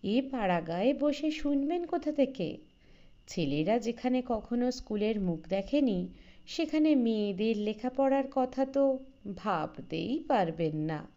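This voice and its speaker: A woman's voice: a little high, lively intonation, a little slow, dark tone, highs cut off. A woman voicing a smug, educated young man from the city in an audio story, lecturing in a condescending way.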